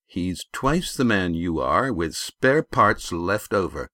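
A man's voice: low voice, very animated, slow pace, bright, forceful tone, no room sound, heavily acted. Man voicing a cool, mocking village youth. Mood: taunting. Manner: dry, sarcastic, unruffled. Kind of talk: storybook character voice.

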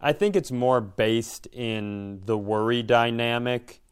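Guy with a clean smooth voice, just chatting, kinda reflective and stop-start. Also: mid-range voice, slow. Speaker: podcast co-host. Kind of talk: casual chat.

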